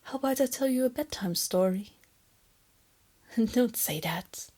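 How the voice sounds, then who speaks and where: A woman voicing a female lamia captor in an intimate ASMR roleplay, soft and often whispery, teasing and coaxing, with condescending sweetness and a quiet menace. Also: on the high side, animated, a little slow. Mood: teasing, tender.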